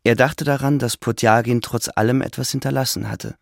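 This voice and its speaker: A man narrating a literary radio play from a script in measured storytelling. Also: mid-range voice, fairly even intonation, creaky, no room sound, studio quality.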